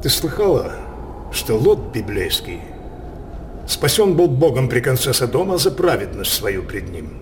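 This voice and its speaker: An actor playing a brooding older king obsessed with his daughter, declaiming theatrical verse in a halting, impassioned manner for a radio play. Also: medium pitch, sing-song, a little hiss or hum. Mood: insinuating, persuasive.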